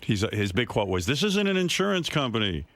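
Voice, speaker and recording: A man's voice: medium pitch, big pitch swings, brisk, a bit bright, no room sound. A man hosting a radio show, chatting casually with a wry, amused way of retelling stories. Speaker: radio host. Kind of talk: casual on-air chat.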